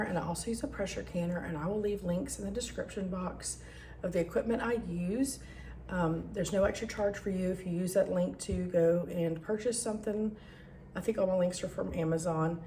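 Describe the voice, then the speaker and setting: A woman with a Southern US accent chatting casually and informally to viewers as a home video host, her voice slightly hoarse and recovering. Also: fairly low pitch, warm tone, slight background noise. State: slightly hoarse after a cough and lost voice.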